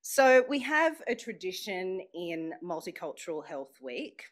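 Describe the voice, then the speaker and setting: A woman's voice: lowish voice, sing-song, a little slow. An Australian woman hosting a live event, speaking to a room full of people in a chatty, playful way that draws the audience in. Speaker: master of ceremonies at an event launch.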